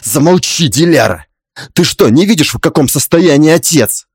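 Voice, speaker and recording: A man's voice: fairly high pitch, big pitch swings, measured pace, breathy edge, no room sound, clean studio sound, full character voice. A man playing a hot-tempered young husband in an audiobook drama, exclaiming in an agitated way. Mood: panicked.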